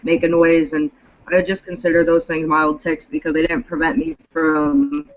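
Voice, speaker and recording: A woman in her twenties talks as a guest in a relaxed group conversation. She hesitates often, with many ums and restarts, in a fairly low voice. The recording sounds dull and muffled. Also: normal speed, gravelly. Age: 20s. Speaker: panel guest. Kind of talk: casual group chat.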